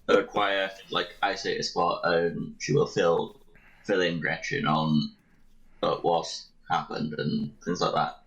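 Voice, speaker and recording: Muffled mic; male tabletop player, very low and creaky, casual roleplay delivery. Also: flat delivery, slow pace, a bit bright. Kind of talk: casual in-character roleplay and narration. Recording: dull, muffled microphone sound.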